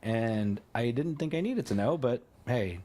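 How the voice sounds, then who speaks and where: A man's voice: mid-range voice, animated, unhurried, mellow. A man co-hosting a podcast, telling stories in a rambling, chatty way, often laughing. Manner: rambling storyteller, laughs often, stumbles and restarts. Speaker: podcast co-host. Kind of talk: casual chat.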